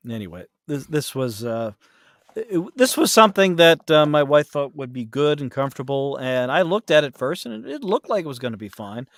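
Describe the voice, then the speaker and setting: A man's voice: on the high side, sing-song, brisk, dry recording, clean studio sound. A man chatting casually as a podcast guest, telling anecdotes and acting out the remarks he quotes.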